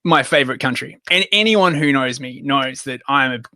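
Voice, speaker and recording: A man's voice: mid-range voice, lively intonation, fairly quick, sharp tone. An Australian man talking on a casual podcast, wordy and sarcastic with crude jokes. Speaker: podcast co-host.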